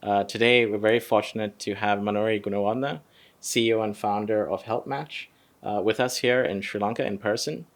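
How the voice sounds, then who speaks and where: A man's voice: lowish voice, fairly even intonation, a little fast. Hesitant male podcast host. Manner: hesitant, many "um"s and restarts. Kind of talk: podcast interview.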